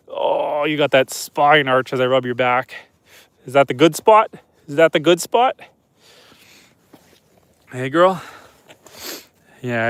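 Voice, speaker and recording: A man's voice: fairly high pitch, dry recording. A Canadian man in his thirties with a stuffed-up nose from a head cold, chatting casually on a live stream from outdoors at night. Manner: relaxed, chatty, soft-spoken to the animals. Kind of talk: casual live-stream chat reading comments.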